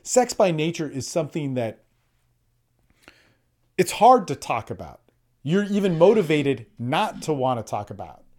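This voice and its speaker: A man's voice: on the high side, very animated, measured pace, pauses often, darkish tone. A man giving an informal motivational coaching talk in an emphatic, blunt way, swearing freely and repeating phrases to drive points home.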